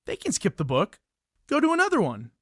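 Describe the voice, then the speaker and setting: A woman's voice: mid-range voice, wildly swooping pitch, brisk, fairly bright tone, husky, no room sound, studio quality, full character voice. A woman playing a naive, bewildered children's storybook in a puppet show, innocent, questioning and incredulous in her delivery. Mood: earnest, confused. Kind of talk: theatrical puppet show dialogue.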